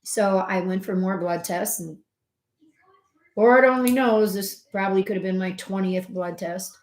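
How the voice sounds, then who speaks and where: A middle-aged woman, a homeopath hosting her own health channel, telling a personal story in a chatty, conversational way with many fillers and asides, her voice swinging from loud emphasis to soft asides. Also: medium pitch, fairly even intonation, unhurried. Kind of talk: casual personal storytelling to camera. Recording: roomy echo.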